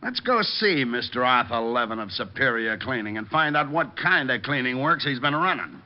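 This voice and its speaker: Man as blunt police lieutenant, animated pitch. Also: normal speed, lightly acted. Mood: stern, businesslike. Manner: urgent, businesslike, blunt. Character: no-nonsense, forceful police lieutenant on the case. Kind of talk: old-time radio crime drama acting. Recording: noisy, muffled old radio recording.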